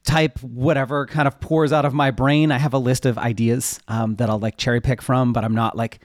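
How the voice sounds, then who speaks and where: A man with a mid-low voice answers as an interview guest, recorded very dry. He talks casually and loosely, often cutting into his own sentences as he thinks aloud. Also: level tone, moderate pace, no echo. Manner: informal, rambling, self-interrupting. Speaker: interview guest, web developer and newsletter writer.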